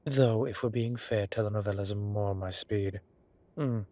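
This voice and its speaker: A man playing a suave, gossip-loving gentleman boss in an intimate audio roleplay, arch and playfully dramatic, with breathy, drawling delivery. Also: on the low side, normal speed, lightly acted. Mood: theatrical, gossipy. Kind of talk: scripted intimate roleplay acting.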